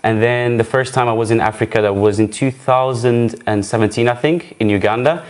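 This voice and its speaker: A man's voice: mid-range voice, fairly quick, a little mellow. A young man from Zurich introducing himself on a live show, friendly and enthusiastic, with slightly phrasing. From Switzerland, Zurich. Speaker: guest, wildlife photographer. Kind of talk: casual self-introduction.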